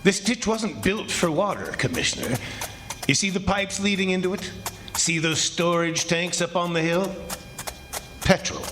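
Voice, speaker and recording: A man's voice: high-pitched, expressive, fairly bright tone, soft background music. A man as a smug, unflappable plantation boss. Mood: commanding. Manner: brisk, confident, commanding. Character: smug, unflappable, domineering male plantation owner. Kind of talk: 1940s radio drama acting. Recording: noisy stage recording with clicks.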